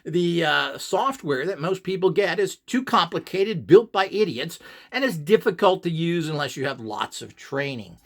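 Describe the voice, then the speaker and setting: A man's voice: a little high, lively intonation, moderate pace, fairly bright tone. A man giving an opinionated instructional talk, scornful and ranting, firing off rhetorical questions. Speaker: radionics instructor.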